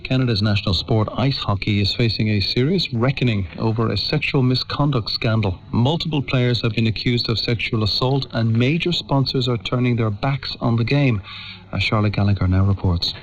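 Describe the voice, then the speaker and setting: A man with a mid-pitched voice presents a news programme and hands over to colleagues. It is heard through muffled shortwave radio. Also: moderate pace. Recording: dull shortwave radio broadcast.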